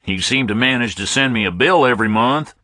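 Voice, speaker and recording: A man's voice: medium pitch, animated, measured pace, sharp tone, no echo, pitched above the performer's own voice. A man voicing a confident, jocular southern man talking over a speakerphone, with a Southern US accent. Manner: chatty, jocular.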